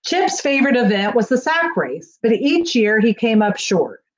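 Middle-aged female storyteller, slightly high voice, expressive children's book read-aloud. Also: moderate pace, muffled recording. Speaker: school staff reading aloud. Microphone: webcam on a video call, near.

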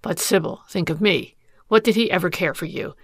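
A woman reading as a persuasive man pressing his suit, urgent and quick. Her delivery is dry and close to the mic. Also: fairly low pitch, husky, dry recording. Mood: urging. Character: pleading male suitor, smooth, persuasive man.

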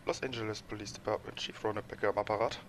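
A man playing a brisk, helpful, dry police chief in an audio drama. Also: fairly low pitch, steady tone, heavy background noise, lightly acted.

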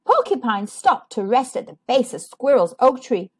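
A woman reads to young children in a high voice. Her pitch swings widely, and she leans into key words with a sing-song, drawn-out emphasis. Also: very animated, breathy edge. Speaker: children's storybook reader, channel host. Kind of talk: expressive read-aloud storytelling for young children.

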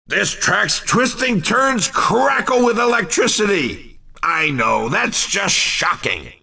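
Video game race announcer, over-the-top, excitable, punning, very high-pitched, piercingly bright delivery. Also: male voice, slow, gravelly, distant mic, heavily acted. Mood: playful. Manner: showy, punning, announcer-like hype. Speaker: race commentator.

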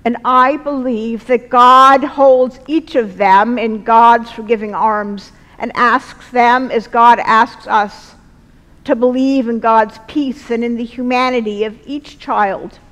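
A middle-aged woman delivers a sermon at a church lectern in slow, weighty phrases. Her voice sits fairly high, and a long church reverb trails behind every line. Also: fairly even intonation, a bit bright. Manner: measured, earnest, slow deliberate phrasing. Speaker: preacher. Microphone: lectern mic, far camera.

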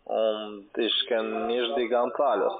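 A man with a foreign accent working as a call-centre agent, speaking in halting, choppy phrases. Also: fairly low pitch, level tone, crowd noise. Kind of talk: halting sales phone call. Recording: tinny narrow-band phone line.

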